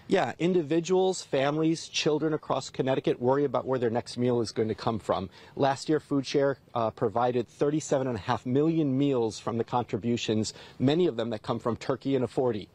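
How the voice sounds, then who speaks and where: A man's voice: medium pitch, brisk, hardly any pauses, darkish tone. A middle-aged man volunteering at a food drive, answering a reporter's questions outdoors in a friendly, plain-spoken, earnest way. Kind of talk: live TV interview answers. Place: outdoors at a church parking lot. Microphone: reporter's handheld mic.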